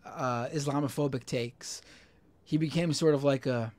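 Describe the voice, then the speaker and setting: A man chatting casually as a podcast guest and commentator, in a halting, self-correcting way. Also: mid-range voice, darkish tone. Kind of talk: casual chat.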